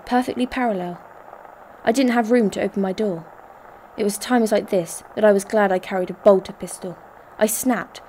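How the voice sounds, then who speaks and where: A woman's voice: medium pitch, darkish tone, lightly acted. A woman playing a tough, tense heist operative who narrates her own story in a radio drama. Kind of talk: radio drama first-person narration with acted dialogue. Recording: noisy recording with vehicle ambience.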